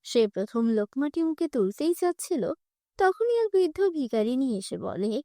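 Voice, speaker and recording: Woman telling a cartoon fairy tale, high voice, dry close sound. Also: very animated, moderate pace, warm tone, no room sound. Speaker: narrator. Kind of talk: scripted storybook narration.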